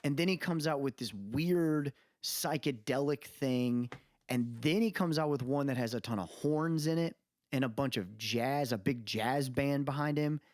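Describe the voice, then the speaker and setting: A man's voice: mid-range voice, measured pace, dry recording. Male podcast co-host, mid-range voice, loose rambling opinion talk, dry recording. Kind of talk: casual podcast chat, opinionated music commentary.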